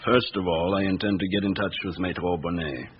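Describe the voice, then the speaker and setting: Guy acting as stiff formal shopkeeper, low voice, clipped answers, noisy old radio play. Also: fairly even intonation, fairly quick, lo-fi sound, lightly acted. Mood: curt, formal. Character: formal, businesslike Parisian shopkeeper, a bereaved nephew. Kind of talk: classic radio drama acting.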